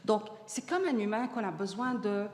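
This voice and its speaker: A woman panelist with Arabic as her first language talks earnestly and often trips into restarts as she builds her point. Her voice sits at a middle pitch and trails into a long hall reverb. It sounds like a live panel in a conference hall. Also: expressive, moderate pace, darkish tone. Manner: earnest, many restarts and fillers. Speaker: panelist on AI in education. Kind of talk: panel discussion answering audience.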